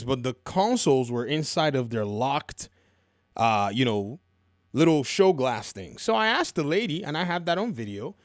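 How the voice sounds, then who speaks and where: A man's voice: a little high, fairly bright tone, no room sound, clean studio sound. A man hosting casual, opinionated video game commentary, telling stories in a colloquial, emphatic way and repeating phrases for effect.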